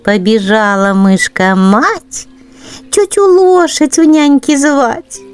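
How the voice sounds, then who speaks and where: A woman's voice: high voice, fairly even intonation, slow, smooth, faint music behind. A woman storyteller narrating children's verse expressively.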